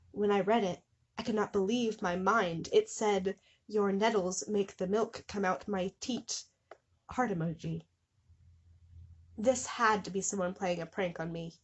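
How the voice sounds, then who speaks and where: A young woman hosting a casual comedic reading of fan fiction, amused and often breaking off with mock-suffering asides in a slightly husky voice. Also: medium pitch. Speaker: host of a small channel.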